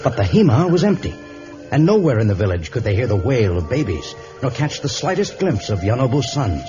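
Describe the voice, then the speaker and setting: An actor serving as the dramatic storyteller of an old radio jungle adventure. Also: male voice, medium pitch, big pitch swings, a little fast, over music, lightly acted. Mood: grave, dramatic. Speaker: narrator. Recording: muffled old radio recording.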